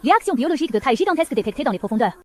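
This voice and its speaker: A woman's voice: high-pitched, very animated, very fast, noisy background. A woman playing an urgent, commanding tactical operator in a dubbed sci-fi action cartoon. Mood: tense reporting. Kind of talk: dubbed animation dialogue.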